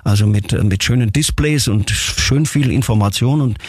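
A man from southern Germany speaking as an interview guest, a city tour guide telling stories in a halting, earnest way. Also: lowish voice, darkish tone, slightly rough, no room sound, clean studio sound. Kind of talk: casual interview storytelling.

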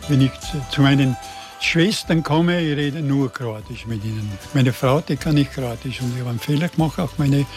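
A man in his eighties from Burgenland with a slight Burgenland Croatian accent, answering interview questions in a halting way, often repeating words. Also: mid-range voice, a little mellow, soft background music. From Burgenland, Austria. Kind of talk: conversational interview.

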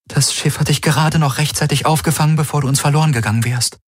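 A man voicing a caring young woman crew member in a science fiction audiobook, speaking softly and reassuringly. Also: a little high, steady tone, fast talker, husky, dry recording, studio quality, voice pushed up. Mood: gentle. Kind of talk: audiobook character voice.

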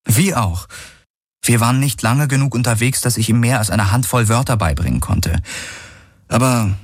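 A man's voice: medium pitch, normal speed, no echo, clean studio sound, pitched above the performer's own voice, close to an everyday voice. A man voicing an earnest, resilient and worried woman space traveller in a dramatised audiobook, speaking emphatically. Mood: helpless, earnest.

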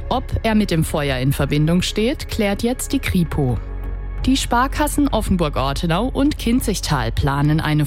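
Female radio newsreader, lower voice, measured reading, faint music underneath. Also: darkish tone.

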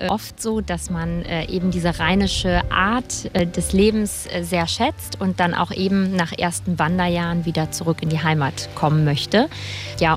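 A middle-aged woman from the Rhineland speaks in a slightly low voice. She gives explanatory interview answers as a hospitality host, with faint music in the recording. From Rhineland, Germany. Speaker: hotelier and restaurant host, interview guest.

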